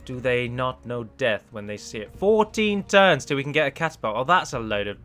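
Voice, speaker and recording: A man's voice: medium pitch, very animated, brisk, fairly bright tone. A man giving chatty, self-deprecating commentary as he plays and records a let's play on a close microphone. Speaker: gaming video host and mod developer.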